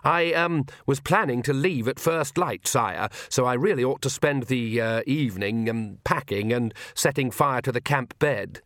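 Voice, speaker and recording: A man voicing an earnest, politely cheerful priest who speaks haltingly and deferentially in an audiobook. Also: mid-range voice, a bit bright, no room sound, studio quality, close to an everyday voice. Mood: nervously cheerful. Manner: hesitant, deferential, halting with ums. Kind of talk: audiobook character dialogue.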